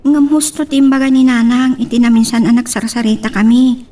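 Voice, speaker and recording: A woman's voice: a little high, level tone, fairly quick, mellow, natural voice. A woman playing an earnest young daughter who pleads for her family in an audio drama, a little off the microphone. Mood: earnest, persuading. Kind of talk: Ilocano radio-style audio drama. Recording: distant from the microphone.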